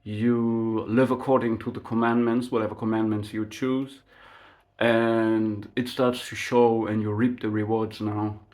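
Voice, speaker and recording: Male spiritual vlogger whose first language is German. Unhurried, musing delivery with lots of fillers and "right?" tags. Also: on the low side, level tone, a little mellow. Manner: slow and reflective, with frequent fillers and rhetorical "right?". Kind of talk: unscripted spiritual monologue to camera.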